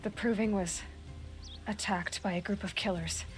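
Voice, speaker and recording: A woman's voice: mid-range voice, fairly even intonation, moderate pace, faint music behind, close to an everyday voice. A woman playing a resolute young huntress. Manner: terse, resolute, commanding. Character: determined young woman hunter seeking answers. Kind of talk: video game cutscene acting.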